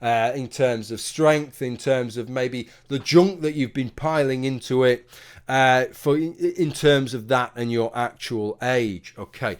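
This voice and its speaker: A man delivering a conversational opinion monologue, arguing his point with rhetorical asides. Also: mid-range voice. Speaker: commentary video host.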